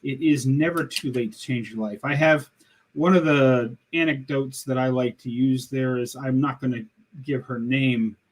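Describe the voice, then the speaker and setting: Older man, sixty, husky voice, earnest plain-spoken guest on a video call. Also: mid-range voice, fairly even intonation, moderate pace, rough recording. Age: 60s. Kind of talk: casual interview chat.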